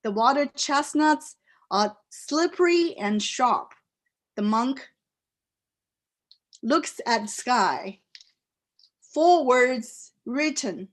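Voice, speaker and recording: A poet whose first language is a Chinese dialect, speaking with a Chinese accent and reading her poems aloud in slow, deliberate phrases with pauses. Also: sits high, expressive. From China. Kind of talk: poetry reading. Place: live reading event.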